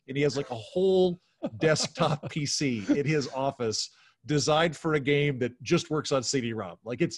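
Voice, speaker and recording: A middle-aged man chatting casually as a podcast guest, telling comic anecdotes and acting out the exchanges in them. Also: medium pitch. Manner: animated storytelling, acting out quoted exchanges. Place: home bedroom, indoors. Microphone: video call.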